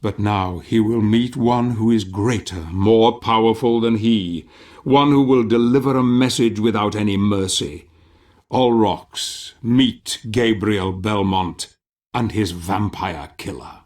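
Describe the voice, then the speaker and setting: An actor playing a solemn, ominous older storyteller, narrating a video game in a theatrical, measured manner. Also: male voice, lowish voice, a little mellow. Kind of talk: scripted dramatic game narration.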